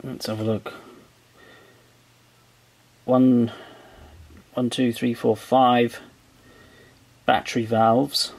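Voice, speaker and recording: A man talking through a piece of old electronics at his workbench, explaining each part step by step as he thinks aloud in a relaxed way. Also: medium pitch, drawn-out words, creaky, pauses often, a little hiss or hum, rough recording. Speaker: electronics restorer. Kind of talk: hands-on show-and-tell. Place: workbench indoors.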